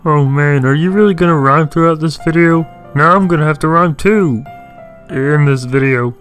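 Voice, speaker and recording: Man putting on a higher-pitched grumbling puppet sidekick. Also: moderate pace, fairly bright tone, soft background music, close to an everyday voice. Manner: complaining, sarcastic. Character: heckling, grumbling puppet sidekick who mocks the host.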